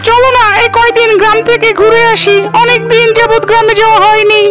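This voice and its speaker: A woman's voice: extremely high pitch, completely flat delivery, fairly quick. A woman voicing an eager little schoolboy in a cartoon, with music behind the voice. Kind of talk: Bengali cartoon dubbing.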